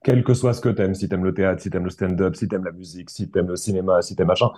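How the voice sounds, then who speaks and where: Man, 30s, Parisian accent, low voice, warm dark tone, casual chat, video call. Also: quick pace. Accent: Parisian French. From Tunisia. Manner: relaxed, laughs often, chatty with fillers. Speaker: podcast guest. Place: at home.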